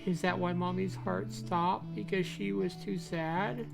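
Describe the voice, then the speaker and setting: A sad, curious little boy played by a man in a visual novel game. The voice is lifted high and asks in an innocent, plaintive way, with guitar music clearly behind it. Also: steady tone, unhurried, full character voice. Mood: worried, questioning. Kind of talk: reading visual novel dialogue aloud.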